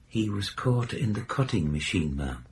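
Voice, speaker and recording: A man's voice: fairly low pitch, big pitch swings, moderate pace, noisy background. A man playing an apologetic company messenger in a radio drama, speaking with formal, hesitant courtesy. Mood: grave, regretful. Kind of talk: naturalistic radio drama acting.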